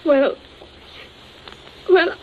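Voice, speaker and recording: A woman acting a timid, distraught young daughter in a 1940s radio drama, speaking haltingly and anxiously. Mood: anxious, wistful. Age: young adult. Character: distraught, timid grown daughter confessing to her stern father. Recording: muffled, noisy 1940s radio recording.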